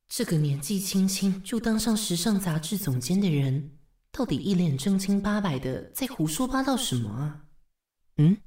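Sardonic assistant, dry studio sound. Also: female voice, mid-range voice, big pitch swings, measured pace, long pauses, darkish tone, breathy edge, no echo. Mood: wry, weary, then teasing. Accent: Taiwanese Mandarin. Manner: sarcastic, teasing, weary. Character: sardonic, long-suffering female assistant to a fashion director. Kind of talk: radio drama acting with inner monologue.

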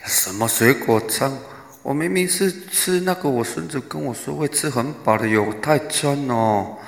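A man's voice: mid-range voice, a little slow, vocal fry. A man playing a rambling, flustered old Taiwanese granny in a comic radio drama, with echoey reverb. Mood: confused, complaining. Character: muddled chatty old Taiwanese granny passenger.

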